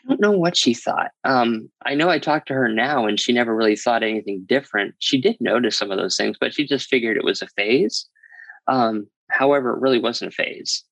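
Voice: mid-range voice, a little fast, fairly bright tone, no room sound, poor audio. An interview guest telling a personal story in a candid, explanatory, matter-of-fact way.

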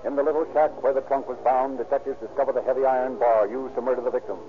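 A man narrating a 1930s radio crime drama in a formal, declamatory manner with deliberate phrasing. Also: mid-range voice, fairly even intonation, slight background noise, natural voice. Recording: narrow old radio recording, loud music behind.

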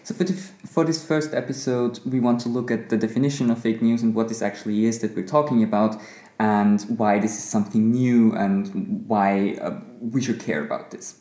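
A man's voice: fairly low pitch, fairly even intonation, moderate pace, mellow. A man from Austria co-hosting a law podcast, speaking in a hesitant way with many fillers. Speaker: podcast co-host, law researcher. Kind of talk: conversational podcast talk. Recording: echoey room.

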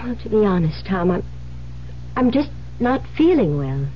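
A woman's voice: mid-range voice, big pitch swings, unhurried. An actress playing a frightened young bride in an old-time radio drama, hesitant and stammering as she speaks. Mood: guarded, uneasy. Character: frightened young bride Jane, uneasy about murderous husband. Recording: muffled vintage radio recording, humming hiss.

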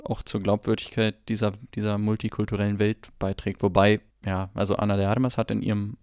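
A man's voice: fairly low pitch, level tone, fairly quick, darkish tone, smooth, dry recording, clean studio sound. A man co-hosting a film podcast, discussing movies in a casual way.

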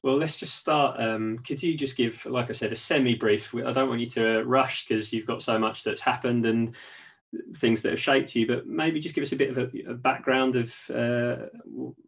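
A male host with a mid-pitched voice and a strong gravelly edge. He asks his questions in a relaxed, halting way, padding them with fillers and restarts. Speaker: interview host. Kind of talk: casual interview.

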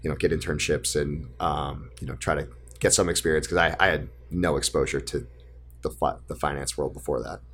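Young guy from Maine, deep voice, straightforward and earnest guest. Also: steady tone, fairly quick, a bit bright. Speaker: guest, lending business co-founder. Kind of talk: casual interview chat.

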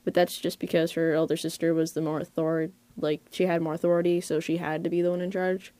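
A woman's voice: lowish voice, little pitch movement, fast talker, warm tone, no room sound. A woman giving a casual interview as a voice actor, hesitant and piecing their thoughts together while talking. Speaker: voice actor being interviewed about their role.

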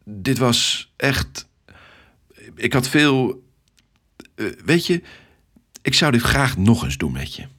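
An awkward, smitten male office worker from a children's audio story, played by a man. He speaks hesitantly in a husky voice, nervous and stumbling over his phrases. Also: medium pitch, big pitch swings, slow pace, long pauses, fairly bright tone, voice pushed up, exaggerated voice. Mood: shy, flustered. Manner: hesitant, stammering.

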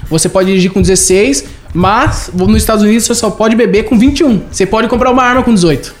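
A man's voice: high voice, quick pace. A man with a Brazilian accent sharing casual anecdotes as a guest in a conversation.

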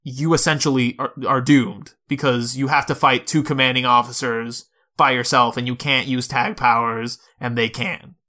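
A man's voice: mid-range voice, fairly even intonation, normal speed, hardly any pauses, bright, forceful tone. A man co-hosting a podcast, talking casually about video games and explaining things step by step. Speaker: podcast host. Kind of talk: casual video game discussion.

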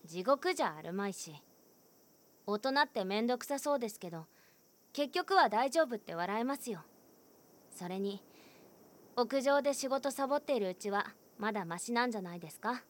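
A woman's voice: on the high side, lively intonation, normal speed, long pauses, lightly acted. An actress voicing a frank, cheerful teenage schoolgirl who talks candidly and teases a little. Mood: candid, teasing. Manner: candid, gently teasing. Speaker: student. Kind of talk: voice drama acting.

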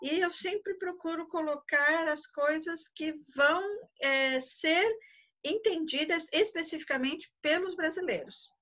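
Higher-voiced Brazilian woman, muffled audio. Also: unhurried, sharp tone. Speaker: tour guide. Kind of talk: conversational interview answers.

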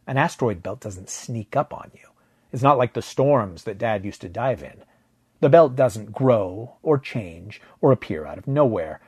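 A man narrating a science fiction story in the first person, with a measured, dramatic delivery. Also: medium pitch, husky, dry recording, clean studio sound.

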